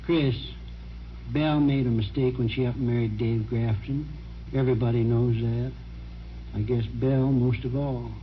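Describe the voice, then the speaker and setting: A man's voice: mid-range voice, steady tone, normal speed, close to an everyday voice. An actor playing a plain-spoken, determined small-town man with a folksy, persistent manner in an old-time radio drama. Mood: firm, persuading. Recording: muffled, slightly noisy vintage radio recording.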